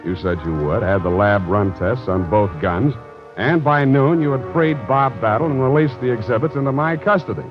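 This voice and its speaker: Deadpan american gumshoe guy actor, tough and wisecracking, old radio show, muffled with music behind. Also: mid-range voice, normal speed, close to an everyday voice. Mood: wry narration. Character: wry, tough, unflappable American private detective. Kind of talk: old-time radio detective drama, narration and dialogue.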